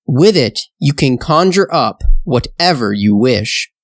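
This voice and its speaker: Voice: mid-range voice, expressive, slow pace, no room sound, studio quality. A narrator voicing a dragon's loyal young son giving counsel in a storybook tale. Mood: advising.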